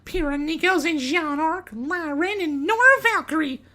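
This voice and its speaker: A woman voicing an unhinged, excitable teenage anime girl in a theatrical, melodramatic way for a fan fiction reading. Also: very high voice, normal speed. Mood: gleeful, showy.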